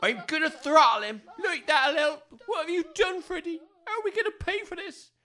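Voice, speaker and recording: Actress as a frazzled working-class mother, scolding, sharp and high, for audio comedy. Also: moderate pace. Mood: exasperated. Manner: exasperated, colloquial. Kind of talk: audio comedy drama acting.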